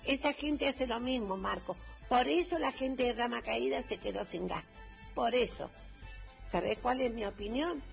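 Woman calling the radio, super gravelly creaky voice, fed up and complaining, faint music under her. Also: fairly high pitch, normal speed. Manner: indignant complaining. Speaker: caller. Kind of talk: radio call-in testimony.

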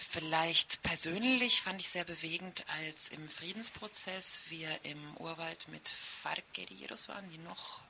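A woman's voice: sits low, gravelly, sharp tone. A middle-aged woman from Germany answering interview questions spontaneously as a foreign correspondent in a radio studio. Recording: faint hiss in studio.

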